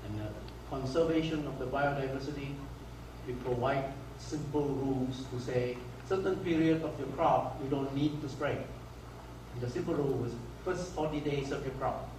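A man's voice: mid-range voice, background noise. Malaysian man lecturing in an echoey hall. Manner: halting, with frequent restarts and fillers. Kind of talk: conference lecture over slides. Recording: echoey hall recorded from far off.

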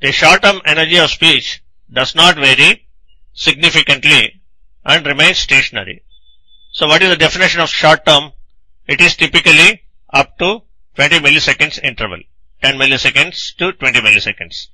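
Male lecturer, slightly high pitch, crisp intense brightness, didactic scripted explanation, question-and-answer teaching style. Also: moderate pace, no echo, poor audio. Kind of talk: scripted technical lecture narration.

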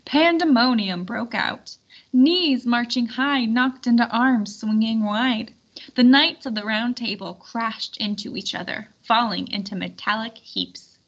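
A woman reading a storybook aloud as an expressive, animated, emphatic storyteller. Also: fairly high pitch, a little slow, highs cut off. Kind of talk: expressive scripted storybook narration.

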